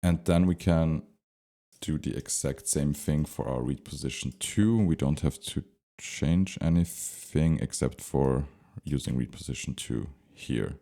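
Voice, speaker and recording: A man giving an unscripted, step-by-step software tutorial, thinking aloud with some hesitation as he explains. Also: rumbling low, unhurried. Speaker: tutorial presenter.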